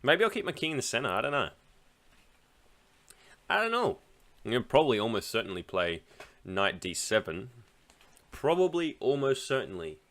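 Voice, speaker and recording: A man's voice: medium pitch, big pitch swings, normal speed, pauses often, fairly bright tone. An Australian man talking casually while playing online chess, rambling and thinking aloud, often laughing. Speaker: chess streamer.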